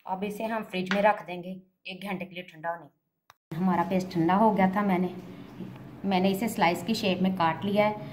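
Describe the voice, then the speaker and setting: A woman, host of a cooking channel, calmly narrating each step of a home recipe in a homely, plain way. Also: fairly low pitch, normal speed, a little hiss or hum. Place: home kitchen, hands at the stove.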